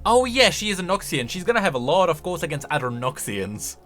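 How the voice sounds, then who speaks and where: A man with a bright, forceful and fairly high voice gives casual video game commentary. His delivery is chatty and emphatic, swinging widely in pitch as he tosses out rhetorical questions. Music plays softly underneath. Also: very animated, a little fast.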